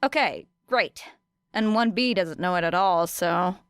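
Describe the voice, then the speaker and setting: A woman's voice: lowish voice, measured pace, smooth, no room sound, studio quality, close to an everyday voice. A woman voicing a brash, teasing teenage girl student with blunt, sarcastic delivery in an audiobook. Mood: scheming. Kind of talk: audiobook character dialogue.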